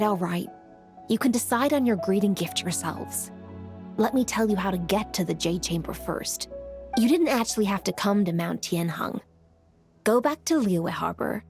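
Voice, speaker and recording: A woman's voice: medium pitch, lightly acted. Woman as proud, frank young official, faint music. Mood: brisk, businesslike. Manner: crisp, assertive, formal. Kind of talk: video game voice acting.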